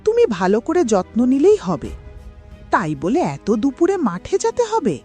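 An actress playing a fretful, demanding village wife in a cartoon. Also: female voice, sits high, wildly swooping pitch, moderate pace, warm tone, faint music behind. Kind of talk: cartoon voice acting.

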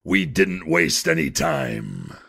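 A man playing a gruff, sceptical crook telling a story in an audio drama, with a gravelly, husky voice. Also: deep voice, huge pitch swings, slow, sharp tone, heavily acted. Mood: wry storytelling. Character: gruff, sceptical male crook recounting events.

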